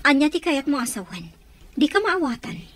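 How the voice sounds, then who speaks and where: A brisk Filipino lady of the house. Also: high voice, sing-song, a bit bright. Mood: concerned, impatient. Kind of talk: Ilocano radio drama acting.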